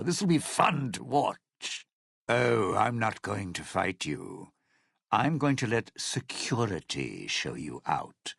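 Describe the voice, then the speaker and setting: Sly, theatrical, scheming crook. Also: male voice, medium pitch, gravelly, husky, no room sound, studio quality, heavily acted. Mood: taunting. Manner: sly, sardonic, theatrical delivery. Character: scheming, bitter, sardonic ghoul crook from a casino heist. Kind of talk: video game character dialogue.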